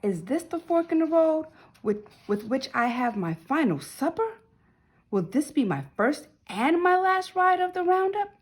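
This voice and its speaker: A woman's voice: high-pitched, very animated, measured pace, voice pushed up. A woman voicing a bold, defiant young cowgirl in a storybook, with a folksy, declamatory delivery. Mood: grave wondering. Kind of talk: storybook read-aloud character voice.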